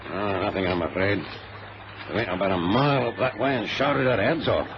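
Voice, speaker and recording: An actor playing a sensible, loyal adventurer and narrator in an old radio drama, speaking in a measured, old-fashioned way. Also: male voice, on the low side, close to an everyday voice. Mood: worried. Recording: muffled, noisy vintage radio recording.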